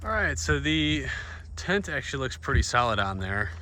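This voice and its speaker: A man's voice: mid-range voice, very animated, normal speed, bright, forceful tone. An American man giving a casual, laid-back DIY walkthrough to camera in plain-spoken words. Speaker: vlogger showing DIY van upgrades. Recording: noisy handheld recording with vehicle ambience.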